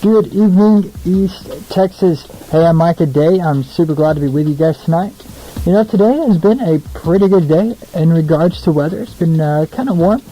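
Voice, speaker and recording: A man's voice: a little high, moderate pace, mellow, a little hiss or hum, rough recording. A young American man hosting a casual radio show, chatty, informal and self-deprecating between songs. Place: small radio studio, alone.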